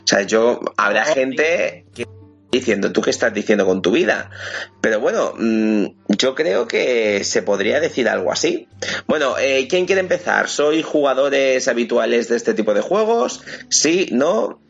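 A man's voice: medium pitch, big pitch swings, measured pace, creaky, slightly rough, faint music behind. A man with an accent from Spain hosting a casual video game podcast in an informal, jokey, slangy way. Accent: Spanish from Spain. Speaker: podcast host. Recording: muffled, lo-fi podcast audio.

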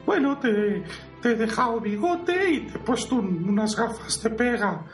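A man with a Spain Spanish accent voicing a timid, rambling priest in an amateur parody audio drama, thin falsetto-like voice, hesitant and apologetic. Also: very animated, normal speed, heavily acted. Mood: placating, nervous. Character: timid, rambling priest, caretaker brother of a fallen conqueror. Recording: roomy, distant mic, music underneath.

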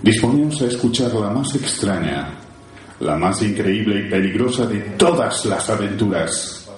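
A man's voice: fairly low pitch, expressive, a little slow. A man playing a grave storyteller in a gothic zombie war radio play, with solemn, ominous and theatrical delivery. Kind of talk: dramatic scripted radio-play narration. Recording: very echoey with loud music.

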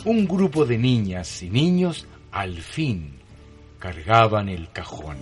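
A man with a Chilean accent narrating a radio drama from a script. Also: lowish voice. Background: music.

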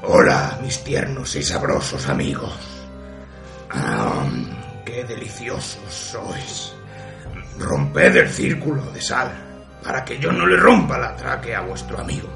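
A menacing demon with an extremely gravelly, creaking growl. It savors every word slowly, gloating over its prey in a fantasy radio drama. The sound is echoey, with music behind. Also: male voice, medium pitch, very animated, distant mic, heavily acted. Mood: gloating, savoring. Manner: slow, drawn-out, savoring menace. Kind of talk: fantasy radio play acting.

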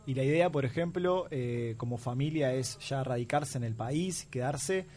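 A man's voice: mid-range voice, normal speed, darkish tone. A man with a Uruguayan accent hosting a radio interview and leading it with follow-up questions. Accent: Uruguayan Spanish. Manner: chatty, leads with follow-up questions. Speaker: radio host.

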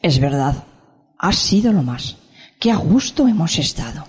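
A woman's voice: sits low, big pitch swings, a little slow, husky, full character voice. A woman with a Spain Spanish accent playing a contented, wistful woman in an amateur radio drama. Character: contented woman back from a weekend away.

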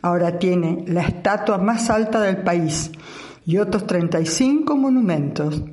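A man's voice: high voice, a little mellow. A man reading a short literary piece aloud as a narrator.

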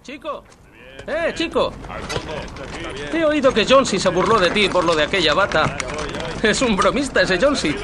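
A man's voice: high-pitched, lively intonation, normal speed, pauses often, crowd noise. An actor playing a chatty, jovial, patronising man who talks down to a lad in a teasing, folksy way, in a dubbed drama. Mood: jovial, needling.

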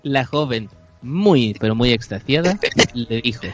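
A man with a Chilean accent narrating an improvised comic radio play in halting, mock-solemn phrases. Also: medium pitch, lively intonation, dull audio, faint music behind. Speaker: narrator on a comedy improv show.

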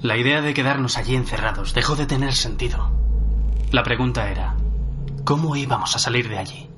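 A man's voice: mid-range voice, fairly quick, background noise, natural voice. An actor playing a tense male survivor who recounts a zombie outbreak in a radio drama. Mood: grave recounting.

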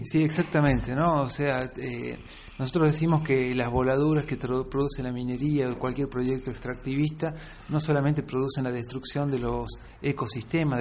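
A man's voice: mid-range voice, a little mellow. A man with an Argentine Spanish accent explains things in a radio interview, emphatically and discursively, often checking in with short tag questions. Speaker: interviewed academic researcher and author. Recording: clear background noise.

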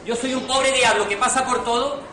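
An actor playing a hapless, jittery poor impostor in an amateur comic radio play, stammering and muttering exasperated asides. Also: male voice, extremely high pitch, fairly even intonation, brisk. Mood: flustered confessing. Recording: roomy distant stage recording, noisy audience.

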